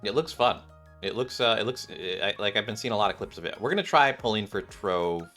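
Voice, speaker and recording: Low-voiced man rambling over game music. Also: animated, a little fast, pushed and bright. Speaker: video game streamer. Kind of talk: casual live stream chat over gameplay. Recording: game music playing behind the voice. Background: video game music.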